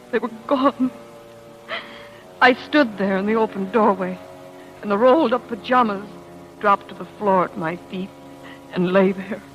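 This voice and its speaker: An actress playing an anxious, devoted young wife in a 1940s radio drama, speaking earnestly and hesitantly. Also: female voice, on the high side, a bit bright, highs cut off. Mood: breathless narrating. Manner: hesitant, earnest delivery with faltering pleas. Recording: old 1940s radio broadcast with music.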